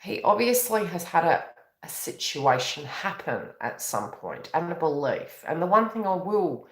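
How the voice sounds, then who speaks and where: A woman's voice: fairly low pitch, sing-song, unhurried, roomy sound, poor audio. Tired rambling New Zealand woman. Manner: rambling, conversational, frequent self-corrections and fillers. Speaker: tarot reader and astrologer. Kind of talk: live-streamed spiritual reading.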